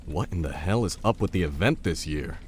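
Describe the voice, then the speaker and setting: A man playing a gruff, bossy, battle-scarred survivalist in an audio drama, blunt, teasing and swearing. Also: deep voice, animated, a little fast, slight background noise. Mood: cocky, teasing.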